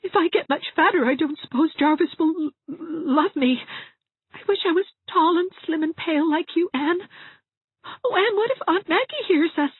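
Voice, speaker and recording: A woman's voice: very high voice, lively intonation, normal speed, no echo, pitched above the performer's own voice. A woman voicing a frightened, self-pitying young bride-to-be in an audiobook, sobbing and stammering as she wails. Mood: tearful, whiny. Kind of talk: audiobook character voice. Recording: thin phone-like narrow-band sound.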